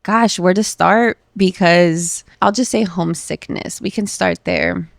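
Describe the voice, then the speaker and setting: Thirties woman guest, gravelly and lowish, candid chatty podcast talk, dry close mic. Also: dry recording. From Los Angeles. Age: 30s. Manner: candid, emotional, uses many fillers like "um" and "like". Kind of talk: casual conversational interview.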